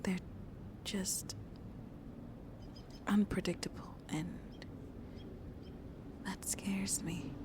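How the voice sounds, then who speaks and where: A woman playing a shy, lonely vampire in an intimate ASMR roleplay, speaking softly and hesitantly, often half whispering. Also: mid-range voice, fairly even intonation. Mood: wistful, vulnerable. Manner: slow, hesitant, halting, soft and breathy. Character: shy, lonely female vampire on a tender first date.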